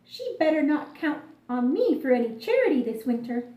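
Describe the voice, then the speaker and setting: Woman voicing a huffy, high-pitched storybook ant. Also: big pitch swings, moderate pace, dark tone, echoey room. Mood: annoyed. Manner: huffy, indignant. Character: hardworking, grumpy female ant, weary of noisy neighbor.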